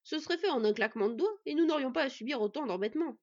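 A woman's voice: high-pitched, lively intonation, very fast, highs cut off, pitched above the performer's own voice. A woman voicing a grumbling companion who keeps complaining.

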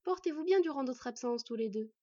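Woman as a protective, high-voiced she-wolf. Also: lively intonation, brisk, warm tone, slightly rough, no echo. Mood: gentle. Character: protective, sober female magical she-wolf guardian. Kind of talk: storybook character voice. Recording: dull, slightly distant microphone.

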